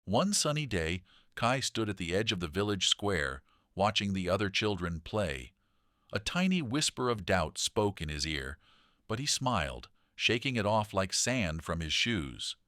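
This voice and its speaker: A man narrating a children's bedtime story in a gentle, deliberate read-aloud manner. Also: on the low side, sing-song, dry recording, clean studio sound.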